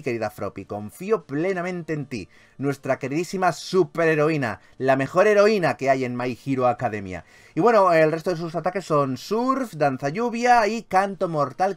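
A man with an accent from Spain giving casual, expressive gaming commentary in an informal, sweary way. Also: fairly high pitch. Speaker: gaming YouTuber. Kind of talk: casual Let's Play commentary.

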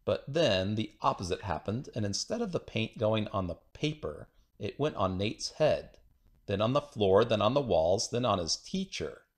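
A man reading a children's picture book aloud in an expressive storytelling manner. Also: on the low side, normal speed. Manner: expressive storytelling with acted dialogue. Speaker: storybook reader.

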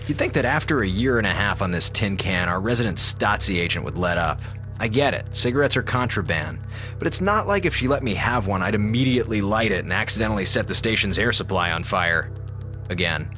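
An actor playing a sarcastic, theatrical slacker communications officer on a space station in a radio drama. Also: male voice, fairly low pitch, bright, forceful tone. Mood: grumbling, sly. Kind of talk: radio drama monologue as a log.

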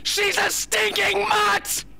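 An actor playing a traumatized, paranoid young man in a radio drama, whispering and shouting his hateful accusations. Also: very high voice, completely flat delivery, takes it slowly, vocal fry, slight background noise, exaggerated voice. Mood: frantic, accusing. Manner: whispering, building to frantic shouting.

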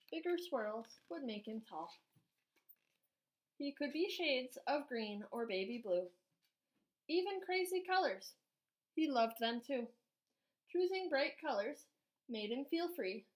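A woman in her thirties, an art teacher, reading a children's storybook aloud indoors with expressive, measured delivery. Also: sits high.

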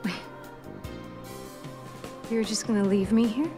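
A woman's voice: medium pitch, fairly even intonation, slow pace, over music, lightly acted. A woman playing a flirtatious, needy woman with volatile moods in a naturalistic video game cutscene, teasing and coaxing. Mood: hurt, alarmed.

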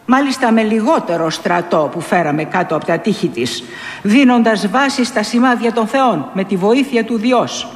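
A woman playing a proud, defiant young male warrior captain in a staged epic recitation, boastful and forceful in retort. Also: mid-range voice, lively intonation, close to an everyday voice. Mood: indignant, boastful. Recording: echoey hall with long reverb.

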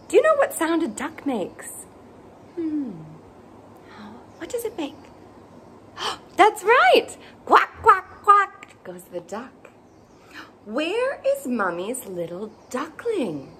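A young woman with a high, very animated voice reads a picture book to small children. She takes her time and exaggerates playfully, asking questions as she goes. It is recorded in a room with some background noise. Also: slow pace. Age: young adult. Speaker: children's story time host. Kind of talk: animated picture-book read-aloud for toddlers. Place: small indoor playroom with bookshelves.

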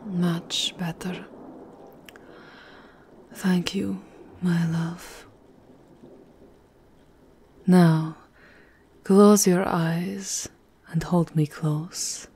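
A woman's voice: lowish voice, fairly even intonation, drawn-out words, pauses often, dark tone, slight background noise. A woman voicing a seductive, possessive vampire countess in an intimate, aristocratic manner for an ASMR roleplay. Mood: amorous.

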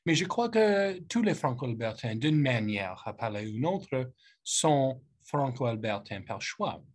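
A man in his thirties with an English-speaking Canadian accent delivers a formal welcome over a video call from an indoor office. English is his first language, so he speaks French haltingly and stops often to search for words. His pitch sits in an ordinary middle range for a man. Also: big pitch swings, slightly rough. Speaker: politician hosting a youth panel. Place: office with lamp and bookshelf, indoors. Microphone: webcam on a video call.

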